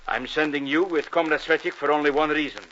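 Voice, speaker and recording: Actor as a menacing Soviet spymaster, crisp and cold; dull 1950s broadcast sound. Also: male voice, on the high side, fast talker, fairly bright tone, lightly acted. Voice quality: clipped, coldly commanding. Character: cold menacing male Soviet agent, middle-aged. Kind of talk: 1950s radio drama acting. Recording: dull old radio broadcast with hiss.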